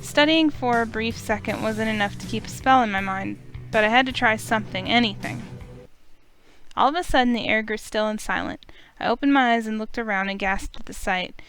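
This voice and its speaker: A woman's voice: on the high side, a little fast, a bit bright, faint music behind, poor audio. A woman narrating a visual novel in first person, emotive and urgent.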